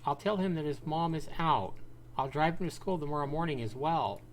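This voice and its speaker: A man's voice: fairly high pitch, brisk, a little hiss or hum, voice pushed up, lightly acted. A man voicing a concerned, polite woman neighbor offering help in a visual novel game.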